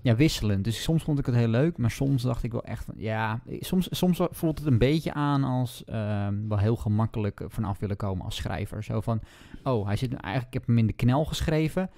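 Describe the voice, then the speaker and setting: A man co-hosting a book podcast in casual conversation, talking in a halting way and rephrasing as he goes. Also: medium pitch, mellow.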